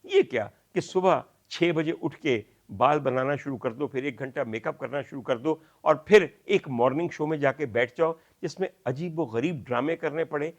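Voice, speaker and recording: A man's voice: fairly high pitch, normal speed, few pauses. Earnest Pakistani man giving opinion commentary. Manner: earnest, admonishing, rhetorical, formal diction. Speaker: commentary vlogger. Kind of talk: opinion commentary addressed to camera.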